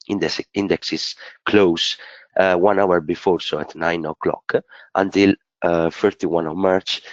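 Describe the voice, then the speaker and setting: A man with a cold and sore throat, hoarse, giving a halting market analysis in a live webinar, full of filler sounds and restarts. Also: low-pitched, no room sound. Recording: dull lo-fi webinar audio.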